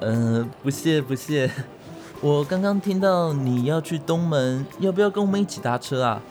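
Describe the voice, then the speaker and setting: An actor with a Taiwanese accent playing a cheeky, boastful, sociable photographer who likes to play matchmaker, in a radio drama. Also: male voice, on the high side, animated, moderate pace, a little mellow, close to an everyday voice. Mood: chatty, friendly. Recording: music behind the voice.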